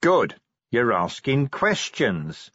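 A man voicing a blunt, exasperated middle-aged police commander in an audiobook, questioning in a gruff, confrontational and incredulous way. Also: mid-range voice, sing-song, takes it slowly, fairly bright tone, no echo, muffled recording, pitched above the performer's own voice, full character voice. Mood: angry, defiant. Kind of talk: audiobook character dialogue.

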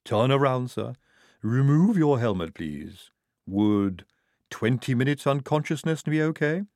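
A composed young woman medic, measured and clinical; a man puts her on. The voice stays near the reader's own, close and dry. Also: medium pitch, animated, darkish tone, dry recording, pitched above the performer's own voice. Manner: precise, clinical.

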